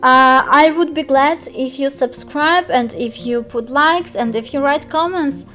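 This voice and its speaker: A young woman with a Russian accent explains a strategy game step by step, her voice pitched high and bright. She speaks carefully, pausing on fillers as she searches for words. Soft music plays faintly underneath. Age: young adult. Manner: careful, hesitant, frequent fillers. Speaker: game guide youtuber. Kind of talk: screen-recorded game tutorial.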